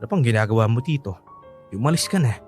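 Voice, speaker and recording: An actor playing a resentful, stubborn young adult son in a radio drama, with a curt, sarcastic manner. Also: male voice, medium pitch, animated, fast talker, a little mellow, faint music behind, natural voice. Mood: cold anger.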